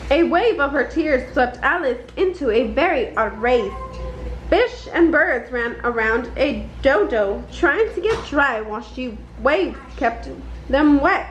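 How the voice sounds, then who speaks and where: High-pitched woman reading a children's story, lively. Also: measured pace. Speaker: storybook reader. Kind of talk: scripted children's bedtime story narration, read aloud. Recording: dull, roomy, faint background noise.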